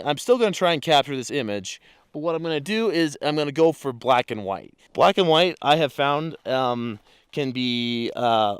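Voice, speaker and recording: A man photographing a calm mountain lake at dawn, explaining his camera settings in a relaxed way with many fillers while sounding congested from a cold. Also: mid-range voice, clear, resonant tone, no room sound, clean studio sound. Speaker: landscape photographer. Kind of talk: casual on-location vlog.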